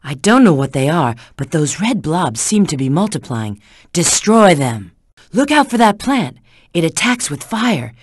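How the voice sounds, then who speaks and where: A woman's voice: on the low side, very animated, unhurried, breathy edge, no echo, studio quality, heavily acted. A woman voicing a sly, flirtatious, confident treasure-hunting agent in a video game, giving hints and callouts with teasing assurance. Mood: curious, alert.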